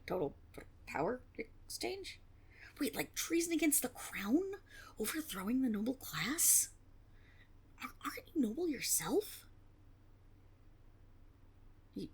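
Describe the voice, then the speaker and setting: A woman's voice: medium pitch, gravelly, darkish tone, breathy edge, heavily acted. A woman playing a flustered young tiefling rogue in a fantasy audio roleplay, stammering and blustering, cocky on the surface but unsure underneath. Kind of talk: fantasy roleplay audio drama, one-sided dialogue.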